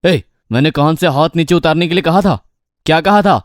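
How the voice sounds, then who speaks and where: A man's voice: fairly high pitch, brisk, no echo, clean studio sound, natural voice. A man voicing a scheming, bullying husband and master in a cartoon story, gloating and threatening with emphatic delivery. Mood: stern, threatening. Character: scheming, bullying lazy husband, a barber posing as magician master. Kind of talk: Hindi cartoon story acting.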